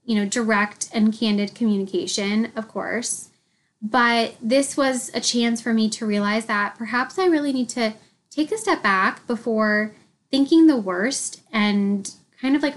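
A woman's voice: a little high, a bit bright. A woman hosting a solo podcast, slightly congested, speaking in a reflective, candid way with light laughs. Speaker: podcast host.

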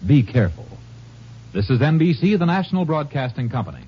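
A man's voice: a little high, sing-song, a little fast, slightly rough. A man reading scripted announcements for a 1950s radio network. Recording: muffled vintage radio broadcast.

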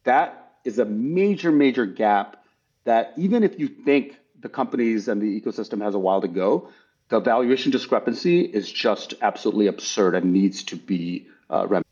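A middle-aged man from Bangladesh who grew up partly in Texas, explaining his views thoughtfully in an interview, in measured, broken-up phrases. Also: lowish voice, animated, darkish tone, breathy edge. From Bangladesh, then Texas. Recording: dull, lo-fi sound.

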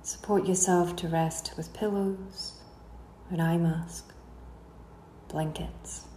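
A woman leads a guided relaxation in a dark, mellow voice. She speaks unhurriedly and soothingly, letting phrases trail off at the end. The recording has a noticeably roomy echo with a faint layer of noise behind her. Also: on the low side, fairly even intonation, slow pace. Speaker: guided meditation instructor. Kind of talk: slow guided relaxation narration.

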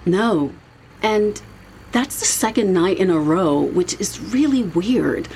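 A woman's voice: mid-range voice, expressive, slow pace, warm tone, a little hiss or hum, rough recording. An actress playing a young woman with a casual, reflective manner, in a naturalistic audio drama. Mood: uneasy, reflective. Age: young adult. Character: uneasy young woman haunted by vivid dreams.

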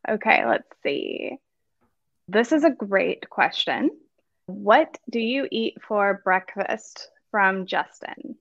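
A woman's voice: medium pitch, lively intonation, slow pace, fairly bright tone, no room sound, muffled recording. A woman co-hosting a podcast who reads listener questions aloud and laughs lightly.

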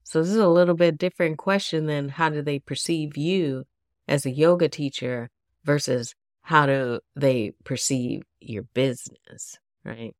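A woman's voice: sits low, a little slow, no room sound, clean studio sound. A woman hosting a solo podcast for yoga teachers, sounding congested and nasal from a cold, talking casually and asking her listeners lots of questions. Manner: casual, chatty, asks listeners many questions. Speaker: podcast host.

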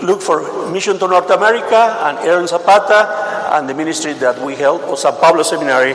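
A man from Mexico with a Mexican Spanish accent, a pastor speaking to a congregation with earnest humor, often halting and repeating words. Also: a little high. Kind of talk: church talk appealing for support. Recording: echoey hall with steady noise.

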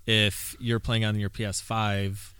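A man's voice: fairly low pitch, little pitch movement, a little slow, fairly bright tone, smooth, dry recording. A man co-hosting a casual podcast, rambling about video games with lots of fillers. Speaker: podcast co-host. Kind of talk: casual chat.

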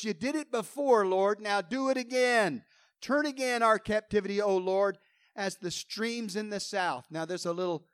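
A man preaching with fervor, his voice pitched high for a man and slightly hoarse. He builds emphasis as he quotes scripture. The sound is dry and close, with no room echo. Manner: fervent preaching, quoting scripture with rising emphasis. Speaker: pastor. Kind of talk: sermon.

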